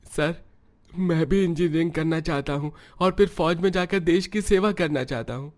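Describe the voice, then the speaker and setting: A man voicing an earnest young schoolboy in a cartoon story, speaking softly and hopefully. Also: fairly high pitch, moderate pace, pitched above the performer's own voice, natural voice. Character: eager young schoolboy, dreams of the army.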